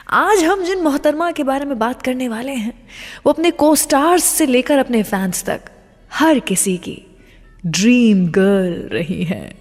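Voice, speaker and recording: A woman hosting a scripted radio show, speaking straight to her listeners and dropping English phrases into her talk. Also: medium pitch. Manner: addresses listeners directly, teasing what comes next; mixes English phrases into speech. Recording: long reverb on the voice.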